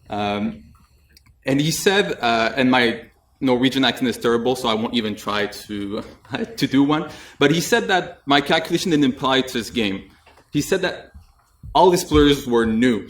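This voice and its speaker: A young man presents at a conference in a relaxed, chatty way, sprinkling in light jokes and "uh" fillers. His voice sits at a middle pitch and is picked up by a desk mic from a little way off. The room has a noticeable echo. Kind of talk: technical conference talk. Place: conference room with audience, indoors. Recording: echoey room, mic at a distance.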